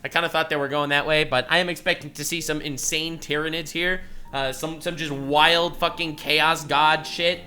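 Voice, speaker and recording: A young man reacting to a video, excitable and loud, often shouting and swearing, then dropping to a mutter. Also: fairly high pitch, normal speed, smooth. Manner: animated, swings from shouting to mumbling, swears a lot.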